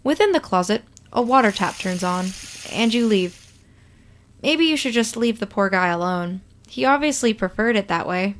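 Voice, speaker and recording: A woman reading a story aloud as expressive, scripted second-person narration. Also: mid-range voice, normal speed. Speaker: podfic reader.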